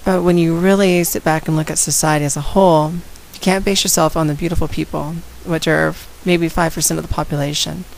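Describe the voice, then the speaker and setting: A woman with a slight head cold and a raspy voice, talking casually and outspokenly straight to her viewers in a vlog. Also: deep voice, steady tone, a little fast, few pauses, a little mellow, slight background noise, rough recording. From San Antonio, Texas. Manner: outspoken, direct, addresses viewers. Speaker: vlogger. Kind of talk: casual talk to camera.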